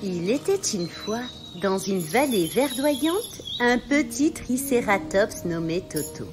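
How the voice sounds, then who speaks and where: Woman reading a kids bedtime story, highish voice, very animated, music behind her. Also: takes it slowly. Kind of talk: scripted children's story narration.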